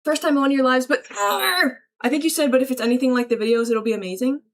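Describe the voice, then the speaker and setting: High-voiced woman chatting on a livestream. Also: quick pace. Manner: slangy, chummy, effusively thankful. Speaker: livestreamer greeting chat. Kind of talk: casual livestream chat, reading and answering viewer messages aloud.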